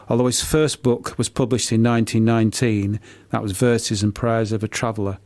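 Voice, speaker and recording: A man's voice: medium pitch, a little mellow, dry recording. Hesitant middle-aged man, dry close sound. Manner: hesitant, halting, often repeating words. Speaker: author and poet being interviewed. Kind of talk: unscripted radio interview answers.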